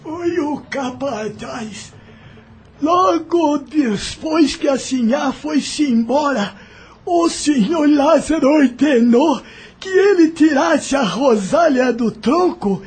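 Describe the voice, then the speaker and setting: A man plays a humble, fearful enslaved servant in an audio drama. The voice is extremely high and slow, with rustic phrasing and a deferential manner toward those above. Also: animated, a little mellow, full character voice. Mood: sorrowful.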